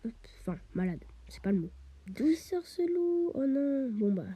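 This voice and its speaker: A woman giving chatty let's play commentary while she plays, often dropping to a half-whisper and tossing in quick interjections, a bit stuffy with a cold. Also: sits high, sing-song, warm tone, husky, no echo. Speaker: gamer.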